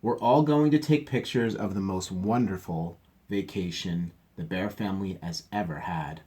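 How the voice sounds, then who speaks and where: A man's voice: low voice, normal speed, mellow, lightly acted. A man voicing an eager, overconfident father bear in a storybook read-aloud. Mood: boastful. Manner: hearty, overconfident.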